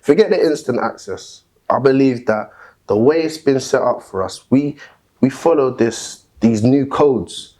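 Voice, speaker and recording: A man's voice: unhurried, warm tone. A man in a casual podcast debate, arguing his points and pushing back with rising emphasis. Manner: argumentative, rises in pitch when pressing a point. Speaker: podcast panelist.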